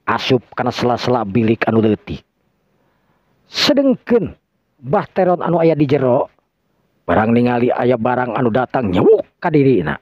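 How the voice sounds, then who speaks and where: A male storyteller with a Sundanese accent delivers dramatic folk-tale narration. His pitch moves widely, and he repeats phrases for theatrical effect. The sound is dry and a bit dull. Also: no room sound. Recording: dull lo-fi close recording.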